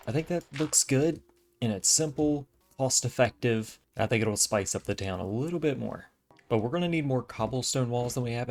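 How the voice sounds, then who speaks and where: A man casually talking through his building work in a video game, easygoing and thinking aloud, his voice a little raspy from allergies. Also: mid-range voice. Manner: relaxed, easygoing self-talk while building. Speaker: gaming channel host. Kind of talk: casual gameplay commentary. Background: faint background music.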